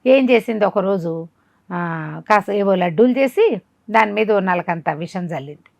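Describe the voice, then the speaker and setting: A woman's voice: mid-range voice, a little slow, vocal fry, no echo. A woman telling a folk tale conversationally to a listener, acting it out as she goes. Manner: animated retelling, acting out quoted dialogue. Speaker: storyteller.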